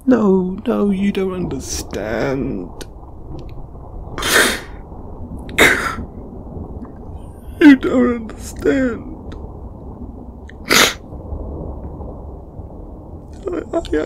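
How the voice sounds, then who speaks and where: A man playing an anxious, apologetic, lovestruck lamia flatmate in an audio roleplay, stammering and flustered. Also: sits high, slight background noise, full character voice. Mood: flustered, pleading. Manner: stammering, halting, flustered, breathy sighs. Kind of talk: intimate audio roleplay acting.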